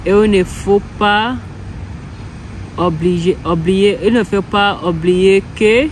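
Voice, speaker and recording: Slow, halting woman learner. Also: medium pitch, rough recording. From Ghana. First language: English. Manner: hesitant, halting, self-correcting. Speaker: French learner. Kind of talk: practice speaking exercise. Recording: steady background hum.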